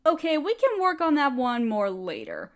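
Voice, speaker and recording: A woman playing a motherly spider queen in a roleplay audio, speaking tenderly and patiently with gentle teasing and formal wording. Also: high-pitched, wildly swooping pitch, moderate pace, heavily acted. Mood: patient, encouraging. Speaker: mother figure teaching a language. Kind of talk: roleplay audio, softly acted monologue.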